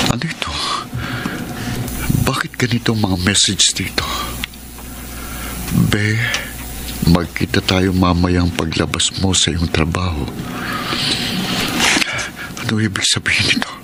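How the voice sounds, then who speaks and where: An actor playing a troubled, hurt husband who suspects his wife, speaking earnestly with a Filipino accent in radio drama style. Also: low voice. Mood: suspicious, tense. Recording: noisy radio drama recording with ambience.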